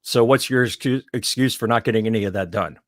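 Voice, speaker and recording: A man with a Southern US accent co-hosting a podcast, talking in an animated, teasing way, swearing freely and laughing loudly. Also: fairly low pitch, fast talker, dry recording, studio quality. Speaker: podcast co-host. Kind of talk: casual chat.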